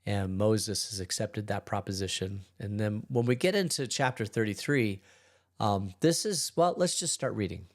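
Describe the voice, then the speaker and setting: A middle-aged pastor with a hoarse, congested voice, leading a relaxed, rambling Bible study. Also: male voice, lowish voice, normal speed. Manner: relaxed, rambling, chuckles between thoughts. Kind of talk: casual daily Bible study talk.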